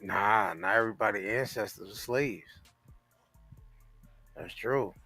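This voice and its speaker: A man's voice: on the low side, measured pace, sharp tone, husky, dry recording, faint music behind. An American man co-hosting a casual talk show, speaking in an emphatic, repetitive, slangy and profane way. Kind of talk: casual opinionated chat.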